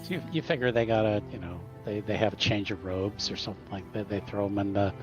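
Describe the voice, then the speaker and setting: A man's voice: fairly low pitch, fast talker. A man chatting casually as a player at a tabletop roleplaying game. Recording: dull sound with music behind. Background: background music.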